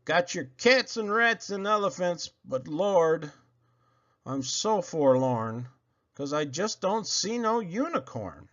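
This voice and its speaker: A man's voice: very animated, slow, dull audio, heavily acted. A man voicing an earnest, forlorn old man calling up to God in a storybook poem. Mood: forlorn reporting. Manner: loud, high, pleading calls.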